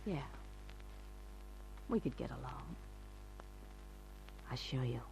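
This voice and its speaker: A radio noir femme fatale, with a creaky, gravelly voice. She speaks slowly and insinuatingly, cool and calculating. The old recording has a faint noisy hum. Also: very deep voice, expressive, mellow, full character voice. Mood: seductive, cool. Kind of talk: old-time radio crime drama acting.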